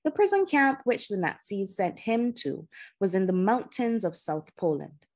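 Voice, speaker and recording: A woman narrating a children's novel in audiobook style, with measured, deliberate phrasing and pauses. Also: mid-range voice, big pitch swings, a little mellow.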